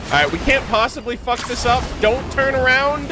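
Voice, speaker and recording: A man's voice: extremely high pitch, steady tone, moderate pace, background noise. A man giving casual live gameplay commentary as a streamer, using gamer slang and talking to his chat. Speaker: streamer reacting to a game. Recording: game music behind the voice.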